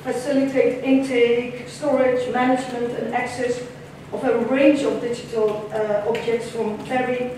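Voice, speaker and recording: A Dutch-accented woman presents at a conference, speaking with a somewhat high voice and a measured delivery full of ums and restarts. She is picked up from the back of a big lecture hall, so her voice carries a heavy room echo. A faint hiss of room noise sits underneath. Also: level tone, a little mellow. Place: large lecture hall with audience. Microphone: room camera far from the stage. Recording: echoey lecture hall from far off.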